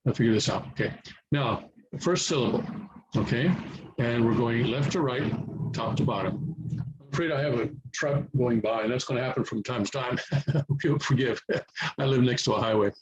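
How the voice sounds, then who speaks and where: A man's voice: mid-range voice, a little hiss or hum, rough recording. A man whose first language is English, patiently teaching how to read Korean letters in a casual home tutorial, repeating himself and checking in often. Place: home near a highway, passing traffic.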